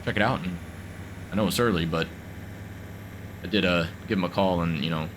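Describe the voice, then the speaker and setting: A man's voice: deep voice, brisk, noisy background. A man getting over a cold, chatting casually while playing a farming game, rambling with lots of fillers. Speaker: gaming video host. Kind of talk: casual gameplay commentary.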